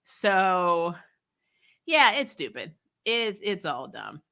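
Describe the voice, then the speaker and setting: A woman hosting a casual TV review chat, talking in an animated, sarcastic, exasperated way with blunt opinions and casual swearing. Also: fairly low pitch, extremely slow. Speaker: host of a TV show review channel. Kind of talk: casual spoiler recap chat, reading and answering viewer comments.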